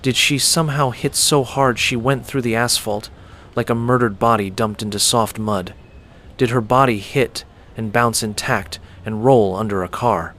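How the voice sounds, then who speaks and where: A man reading a dramatic scripted story as a storyteller, with deliberate, theatrical phrasing. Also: mid-range voice, fairly even intonation, a bit bright. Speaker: storyteller and host.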